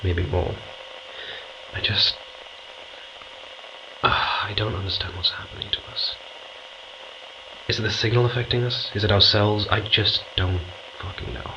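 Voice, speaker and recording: A man playing an anxious, brooding astronaut on a remote moon base in an audio drama, speaking haltingly with breathy urgency. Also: on the low side, dull audio. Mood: afraid, brooding. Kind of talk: audio drama acting, confiding recorded log. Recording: clear background noise.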